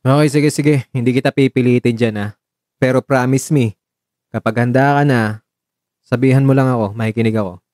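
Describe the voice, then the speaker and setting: A man playing a caring, gently teasing young man in love in a romantic radio drama, speaking in an expressive, coaxing way. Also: mid-range voice, fairly quick, pauses often, no echo, studio quality, close to an everyday voice. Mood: gently reassuring.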